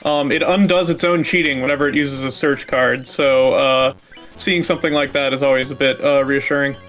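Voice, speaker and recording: A man's voice: mid-range voice, steady tone, brisk, a bit bright, faint music behind, low-quality recording. A man who races speedruns, chatting casually during a live gaming race. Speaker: speedrun racer.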